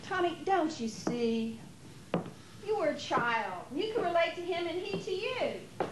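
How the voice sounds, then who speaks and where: A woman's voice: very high-pitched, sing-song, unhurried, exaggerated voice. A woman playing a scheming, impatient wife who coaxes and persuades in an amateur stage play. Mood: insistent, pleading. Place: theater during a rehearsal. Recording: echoey, noisy 1980s theater rehearsal tape.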